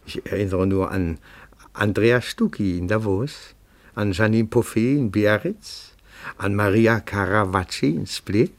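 An actor playing a timid but dogged petit-bourgeois amateur detective in a radio play, formal, meticulous and deferential, given to rapturous declamation. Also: male voice, on the low side, measured pace. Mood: pedantically insistent. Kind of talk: German radio play acting.

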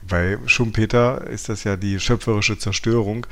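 A man with a fairly low voice speaks as a podcast co-host. He reads out listener messages and picks ideas apart in an analytical, questioning manner. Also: fairly even intonation, normal speed, fairly bright tone. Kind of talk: reading listener mail and discussing it.

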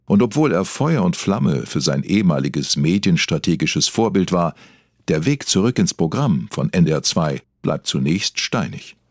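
A man's voice: sits low, exaggerated voice. A man narrating a mock celebrity documentary as a solemn, scripted storyteller.

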